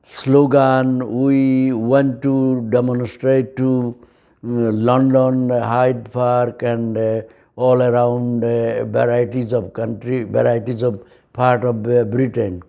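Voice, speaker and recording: A man in his seventies with a Bangladeshi accent and Bengali as his first language, recalling his life in an interview in halting, hesitant, simple phrases with repeated words. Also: medium pitch, little pitch movement, creaky, warm tone. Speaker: oral history interviewee. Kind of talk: recollection in an interview.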